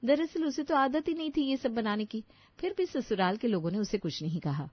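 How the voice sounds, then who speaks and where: A woman's voice: fairly high pitch, very animated, a little fast, no room sound, highs cut off. A woman narrating a scripted children's story as a storyteller.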